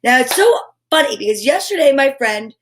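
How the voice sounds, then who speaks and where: A high-pitched woman hosts a children's story time. She is effusive and encouraging, moving between chatty asides and reading aloud, with a playful way of addressing her young listeners. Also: big pitch swings, brisk, fairly bright tone, a little hiss or hum. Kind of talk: live children's show chat and read-aloud.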